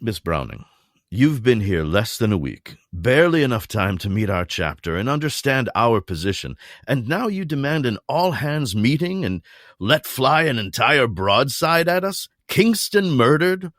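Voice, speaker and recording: A man playing a calm, diplomatic leader of a secret society, trying to keep order in an audio drama. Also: medium pitch, measured pace, dry recording, clean studio sound. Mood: measured. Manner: soothing, diplomatic, mediating. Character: older male society leader, calm and measured.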